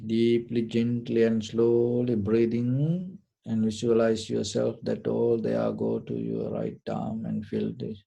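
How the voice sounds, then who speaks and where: A man leading a guided meditation, giving short, deliberate instructions in a gentle, soothing manner. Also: fairly low pitch, vocal fry, slightly rough, muffled recording. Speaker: meditation teacher.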